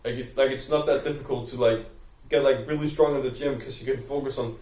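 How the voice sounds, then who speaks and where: A young man with a Danish accent talks unscripted to a camera, rambling and filling every pause with "like" while he corrects himself. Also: medium pitch, steady tone, a little mellow, distant mic. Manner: rambling, heavy use of "like", self-correcting. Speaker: vlogger. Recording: echoey room, voice off-mic.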